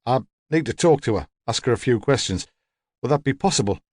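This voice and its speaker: A man voicing a calm, polite police detective who asks measured, probing questions in an audiobook story. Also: medium pitch, no room sound, clean studio sound, lightly acted. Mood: polite, businesslike.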